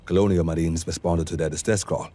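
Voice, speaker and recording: An actor playing a composed, formal intelligence informant in a video game, briefing in a measured, detached way. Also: male voice, low voice, fairly even intonation, warm tone. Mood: detached, informative.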